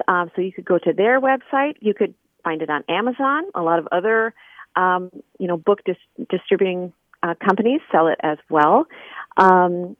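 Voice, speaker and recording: A woman's voice: lowish voice, no echo. A woman interview guest, a scholar, explaining things conversationally with examples over a telephone line. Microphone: phone.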